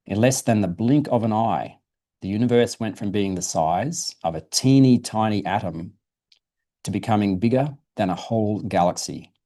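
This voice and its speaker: A man with a fairly low, very mellow voice reads a science storybook to children. He explains things simply, in the manner of a museum presenter at storytime. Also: normal speed. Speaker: guest presenter from a dinosaur museum. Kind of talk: reading a children's science book aloud.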